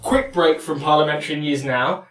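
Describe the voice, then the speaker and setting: A man with a somewhat high voice delivers ridiculous news in a smooth, mock-serious anchor tone. He is recorded from a distance in an echoey room. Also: normal speed, over loud music. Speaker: podcast co-host. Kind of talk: deadpan comedy podcast banter.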